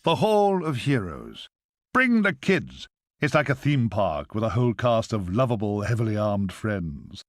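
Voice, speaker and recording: An actor playing a wry, chatty undead guide in a video game, with a theatrical, rambling delivery. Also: male voice, lowish voice, big pitch swings, measured pace, dry recording. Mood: mock announcing. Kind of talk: video game character acting.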